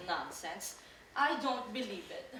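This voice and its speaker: A woman playing the brisk, shrewd sister of a sick man, mocking and plotting in a live stage play. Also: mid-range voice, lively intonation, sharp tone. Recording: echoey theater from far off, noisy.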